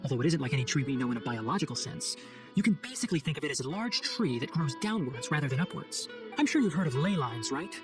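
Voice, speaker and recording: A man's voice: fairly high pitch, very animated, fast, music in the background. An actor playing an earnest, scholarly young male forest ranger in a video game cutscene, speaking formally and explaining things with composure. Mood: earnest, grave.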